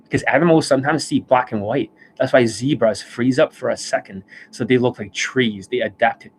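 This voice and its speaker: A man hosting a live stream as a dating coach. He rants bluntly and swears often, and his voice is worn and hoarse from overuse, with coughs and throat clearing. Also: mid-range voice, normal speed, a little hiss or hum. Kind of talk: livestream monologue. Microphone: desk microphone.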